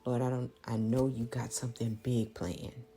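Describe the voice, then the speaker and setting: A woman, thinking out loud in a reflective, prayerful monologue, halting and earnest as she repeats phrases. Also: extremely low pitch, flat delivery. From small-town Louisiana, United States. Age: late 30s. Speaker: podcast host.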